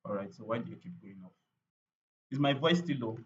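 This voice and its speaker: A man's voice: fairly low pitch, animated, fairly quick, muffled recording, a little hiss or hum. A man running a live online training on paid ads, chatty and repetitive, with lots of fillers and "right?" tag questions.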